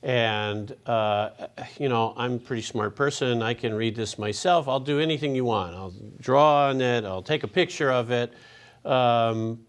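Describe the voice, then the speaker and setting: A man moderating a medical panel, walking through a patient case slowly with many fillers. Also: mid-range voice, clear, resonant tone.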